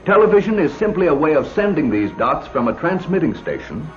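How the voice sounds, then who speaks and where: A man narrating an old educational film in a crisp, instructive way. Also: fairly high pitch, sing-song, brisk, soft background music. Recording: dull old film soundtrack.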